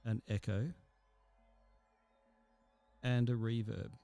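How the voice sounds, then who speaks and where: A man narrating a software tutorial in a casual, explanatory and somewhat hesitant way. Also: on the low side, fairly even intonation, takes it slowly, vocal fry, dry recording, soft background music.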